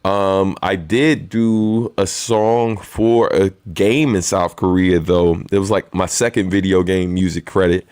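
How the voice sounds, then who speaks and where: A man with a very deep voice hosting a weekly live stream, chatting casually in a laid-back, rambling way with lots of fillers. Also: fairly even intonation, unhurried, hardly any pauses, a little mellow, smooth, no room sound, studio quality. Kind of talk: casual livestream chat and Q&A.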